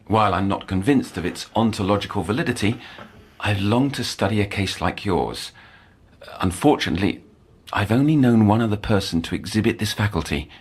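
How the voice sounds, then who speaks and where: An actor playing an earnest, brooding middle-aged male doctor in an audio drama, speaking formally and haltingly in erudite language. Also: on the low side, lively intonation, slightly rough. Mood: wistful, scholarly. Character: earnest, scholarly, brooding male doctor haunted by past failures.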